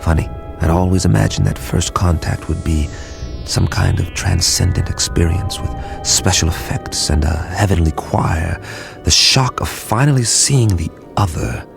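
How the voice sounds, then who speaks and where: An actor playing a wry, detached scientist in a radio drama, conversational and musing, with a gravelly voice. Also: male voice, sits low, unhurried, over music, full character voice. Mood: wry, reflective. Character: wry, detached male scientist recounting alien arrival.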